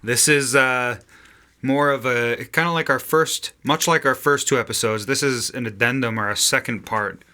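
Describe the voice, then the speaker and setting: Loud, bright-voiced man chatting. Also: mid-range voice, normal speed. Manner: loud, rambling, swearing. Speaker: podcast co-host and singer. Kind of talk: casual chat.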